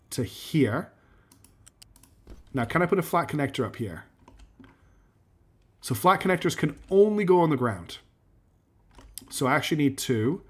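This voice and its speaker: Guy livestreaming a factory game, voice going a bit croaky, talks thru every step, low music playing under him. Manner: talks himself through building steps, counts out loud, asks himself questions; answers chat messages as he plays. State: losing his voice, clearing his throat. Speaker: game streamer. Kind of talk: live gameplay commentary, thinking aloud.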